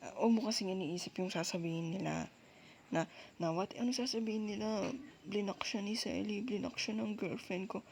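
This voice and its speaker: A woman with a Filipino accent doing intimate girlfriend roleplay ASMR, speaking softly and closely in a soothing, coaxing, reassuring way. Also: medium pitch, fairly even intonation, brisk, creaky. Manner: soothing, coaxing, with frequent gentle check-ins. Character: soft dominant, reassuring, apologetic girlfriend comforting her partner. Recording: faint background noise.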